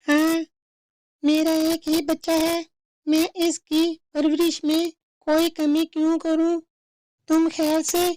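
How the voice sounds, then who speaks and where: A woman's voice: extremely high pitch, completely flat delivery, takes it slowly, darkish tone, dry recording. A woman voicing a watchful, caring mother bird who speaks instructively in a cartoon story. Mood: proud. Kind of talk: slow cartoon story dialogue.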